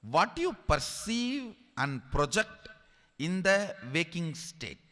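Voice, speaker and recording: A man with an Indian accent giving a spiritual discourse as a teacher, emphatic and deliberate, repeating key phrases. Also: high voice, very animated, pushed and bright. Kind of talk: sermon-like spiritual discourse to an audience. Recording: long hall reverb.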